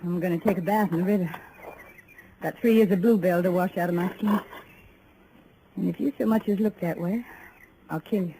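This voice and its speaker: A woman's voice: lowish voice, fairly even intonation, normal speed, long pauses, mellow, natural voice. A noisy vintage radio recording carries a woman acting as a feisty young frontier woman. She is plainspoken, tough and defiant, in old-time Western drama style.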